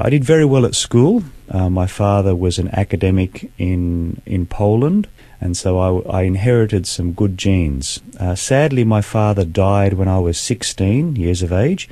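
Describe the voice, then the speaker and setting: A man, a guest telling his personal faith story in an earnest, measured way. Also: on the low side, warm tone, dry recording. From Australia. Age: 40s. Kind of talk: personal testimony in interview.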